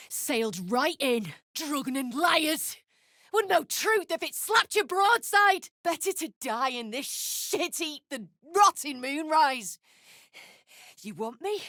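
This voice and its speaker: A woman voicing an embittered, foul-mouthed deep gnome rebel in a video game, blunt and snarling. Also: fairly bright tone, dry recording, studio quality, exaggerated voice. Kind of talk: video game character acting.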